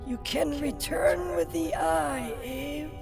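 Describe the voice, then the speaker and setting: An actress playing a solemn, mystical alien guide in a game cutscene, speaking in a cryptic, deliberate way. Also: female voice, high voice, big pitch swings, exaggerated voice. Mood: cryptic, instructing. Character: solemn mystical female alien elder guiding a chosen hero. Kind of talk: cinematic game cutscene acting. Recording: loud cinematic music behind. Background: loud music.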